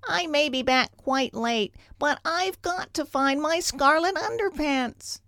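A woman's voice: sits high, slow pace, fairly bright tone, dry recording, clean studio sound, voice pushed up, heavily acted. A woman voicing a plucky, earnest young boy superhero in a children's story, declaiming bravely. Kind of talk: children's storybook character reading.

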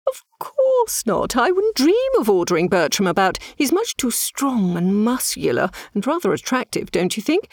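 A woman voicing a gushing, eccentric female medium who coos endearments in a high sing-song voice. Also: huge pitch swings, moderate pace, few pauses, a little mellow, heavily acted. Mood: coaxing.

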